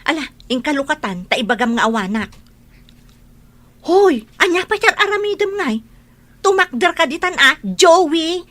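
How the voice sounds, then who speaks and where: High-voiced bossy young woman. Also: sing-song, normal speed, fairly bright tone, dry recording. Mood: annoyed, bossy. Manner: curt, commanding. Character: aloof, bossy young woman dodging her suitor. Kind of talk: radio drama acting.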